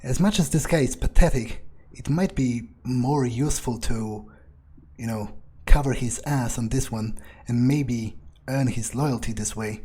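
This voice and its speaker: A man's voice: mid-range voice, unhurried, mellow, slightly rough. A man voicing a cynical, scornful fledgling vampire in a game playthrough, musing aloud hesitantly and profanely. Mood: musing, dismissive. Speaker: player role-playing in character.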